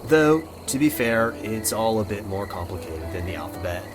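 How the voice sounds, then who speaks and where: A man's voice: lowish voice, expressive, normal speed, over music, natural voice. A man playing a goofy, easygoing young friend in a visual novel game, talking playfully and casually. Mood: teasing, casual. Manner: playful, casual bro-speak.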